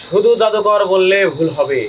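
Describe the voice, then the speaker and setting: A man's voice: high voice, moderate pace, lightly acted. A pompous sorcerer and great master played by a man declaims grandly in a folk-tale film. The words are drawn out, and the sound is dull with faint noise. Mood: grandiose.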